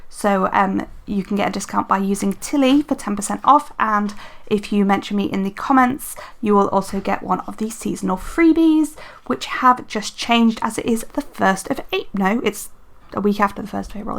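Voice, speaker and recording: Chatty woman with a slightly croaky voice. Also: medium pitch, moderate pace, fairly bright tone. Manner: chatty, rambling, many fillers and self-corrections. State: slightly croaky, mild sore throat. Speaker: planner and book vlogger. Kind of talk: casual chat while decorating a planner.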